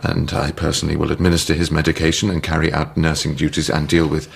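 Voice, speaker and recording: An actor playing a brusque, troubled psychiatrist in a radio drama, clipped and halting, stammering under strain. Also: male voice, rumbling low, completely flat delivery, gravelly, slightly rough, exaggerated voice. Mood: tense, insistent. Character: brusque, troubled, secretive male psychiatrist, easily rattled.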